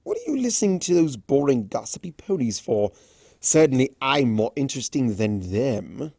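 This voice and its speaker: A man's voice: medium pitch, very animated, moderate pace, gravelly, a little mellow, slightly rough, dry recording, clean studio sound, full character voice. A man voicing a mischievous, smug male chaos creature from a cartoon fan story, theatrical and mocking, drawing out his words. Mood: huffy, insistent.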